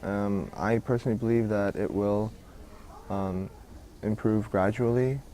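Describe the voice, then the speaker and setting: A man gives a measured answer with a filler or two. He speaks slowly, in a warm, dark voice. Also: lowish voice. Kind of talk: interview answer.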